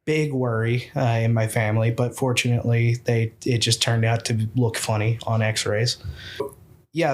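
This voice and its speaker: A man's voice: medium pitch, fairly bright tone. Earnest young man from Tennessee. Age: young adult. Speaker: guest, program founder. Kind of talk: interview talk.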